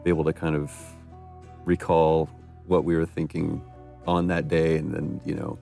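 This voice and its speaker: Very deep, gravelly actor, earnest and halting, soft music behind. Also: male voice, flat delivery. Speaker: actor giving commentary. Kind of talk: reflective commentary.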